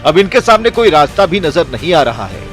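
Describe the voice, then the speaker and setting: A man's voice: fairly high pitch, sing-song, brisk, a bit bright, soft background music. A man reading scripted television news reports as a voice-over presenter.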